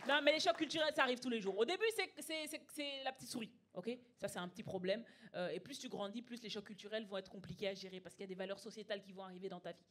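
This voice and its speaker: A woman's voice: mid-range voice, quick pace, few pauses, a faint crowd, a little hiss or hum. A woman tells stand-up stories in an emphatic, conversational way, acting out dialogue. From Belgium. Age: early 20s. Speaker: stand-up comedian. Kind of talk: live stand-up storytelling. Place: comedy club stage. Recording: live stage with audience laughter. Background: audience laughing.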